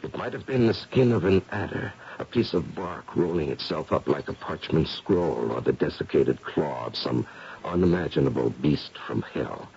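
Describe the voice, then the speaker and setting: Deep, gravelly ghost investigator. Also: male voice, animated, a little mellow, exaggerated voice. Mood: grave, shaken. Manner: deliberate, dramatic explaining. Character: confident male paranormal investigator, gray-haired, probing and theatrical. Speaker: actor. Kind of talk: old-time radio mystery acting. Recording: muffled, noisy radio drama recording.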